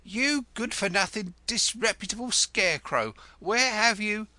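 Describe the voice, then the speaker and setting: Guy doing a shrill nagging landlady, high and loud, swings all over. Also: lively intonation, unhurried, dry recording, full character voice. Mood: scolding. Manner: sharp-tongued, shrill, scolding. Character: shrill scolding middle-aged landlady.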